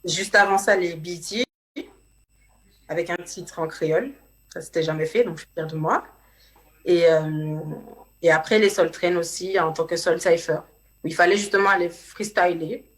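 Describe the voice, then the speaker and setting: A woman's voice: fairly low pitch, moderate pace. Chatty Martinican woman singer. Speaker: guest singer. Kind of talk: casual interview chat. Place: radio studio.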